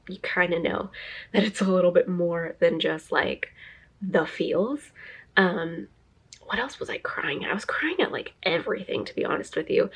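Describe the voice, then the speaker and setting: A woman's voice: on the low side, husky. A young woman vlogging to camera in a chatty, rambling way, a little stuffy from a cold and laughing often. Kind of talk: casual talking-to-camera vlog.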